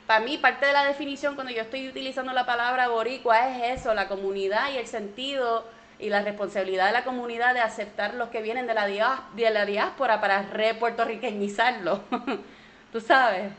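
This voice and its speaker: A woman's voice: on the high side, steady tone, brisk, a bit bright. A woman with a Puerto Rican Spanish accent, a guest in a casual interview over a video call, who often restarts her sentences and mixes in English words.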